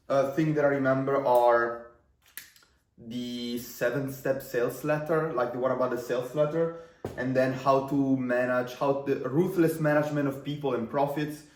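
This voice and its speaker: A man's voice: medium pitch, darkish tone, reverberant, distant mic. A man in his twenties with an Italian accent, whose first language is Italian, talking casually to camera about marketing in a rambling way with fillers and restarts. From Italy. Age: 20s.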